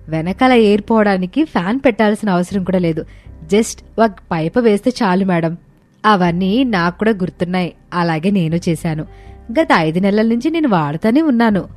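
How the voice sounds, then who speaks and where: A woman reading the dialogue of a family story in a bright, explaining tone, with soft music behind. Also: medium pitch. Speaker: narrator voicing characters.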